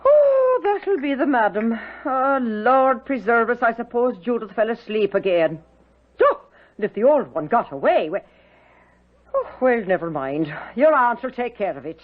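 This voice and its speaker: Big jolly irish housekeeper lady, fussing and folksy, really animated, old radio play, narrow muffled sound. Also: a little high, a little slow. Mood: worried. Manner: effusive, motherly, folksy endearments. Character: jolly fat warm-hearted Irish housekeeper-cook. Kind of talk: 1970s radio drama acting.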